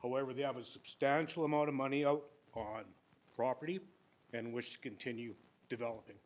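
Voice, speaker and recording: Older man, applicant at a council hearing, plainspoken, sometimes booming. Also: steady tone, a little fast. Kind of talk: public hearing presentation. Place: council chamber. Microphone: podium microphone.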